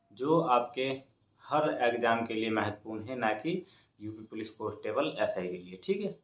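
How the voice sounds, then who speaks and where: A man's voice: medium pitch, a bit bright. Man in a dull, echoey recording. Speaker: exam coaching YouTuber and host. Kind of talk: informal exam news update with advice to students. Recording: echoey room, dull muffled sound.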